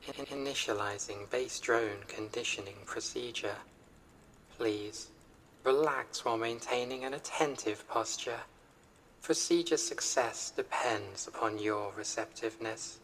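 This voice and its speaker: A performer voicing a corporate AI conditioning machine in an audio roleplay, giving calm, methodical instructions in a measured, formal and impersonal way. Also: medium pitch, slight background noise, lightly acted, low-quality recording. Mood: calm, instructing.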